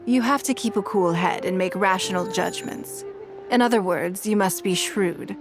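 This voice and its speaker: A woman playing a composed, shrewd and wealthy businesswoman in a video game, speaking in a measured, formal way. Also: mid-range voice, lightly acted. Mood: reflective, composed. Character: poised, shrewd, wealthy businesswoman of high standing. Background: background music.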